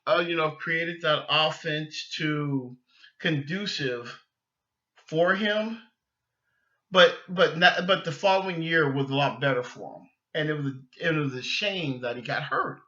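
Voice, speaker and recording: Guy doing a sports podcast, really sharp punchy voice, rambly and opinionated, keeps restarting, kinda muffled lofi audio. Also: fairly high pitch, unhurried. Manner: rambling, repeats and restarts phrases. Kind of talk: casual, opinionated football fan talk.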